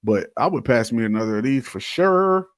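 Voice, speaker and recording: Male podcast co-host, mid-pitched, slangy casual banter, very dry close recording. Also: animated, moderate pace, dry recording. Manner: informal, slangy, swears casually. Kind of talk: casual banter and beer tasting chat.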